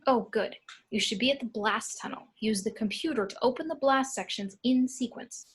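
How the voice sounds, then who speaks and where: A woman's voice: a little high, normal speed, breathy edge. A woman playing an eager, helpful science-fiction character in an amateur script read. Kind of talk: amateur screenplay table read.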